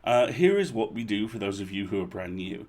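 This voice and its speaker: A man hosting a livestream with casual, chatty introductions and playful, animated asides. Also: on the low side, a little fast. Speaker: livestream host.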